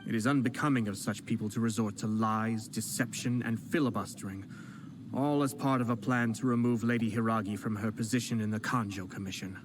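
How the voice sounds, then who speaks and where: A man playing a composed noble commissioner in a game, with a lowish, even voice that stays polite while every line cuts. The game audio carries a clear hiss of noise underneath. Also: a little fast, close to an everyday voice. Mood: disdainful. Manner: calm, polite, coolly cutting. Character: composed noble male commissioner, cool and cutting. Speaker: game character. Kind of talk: game cutscene voice acting.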